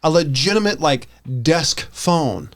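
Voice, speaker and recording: Male podcast co-host, slightly high voice, casual and halting, circles back over his words. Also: expressive. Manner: halting, repeats words and restarts sentences. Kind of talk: casual banter.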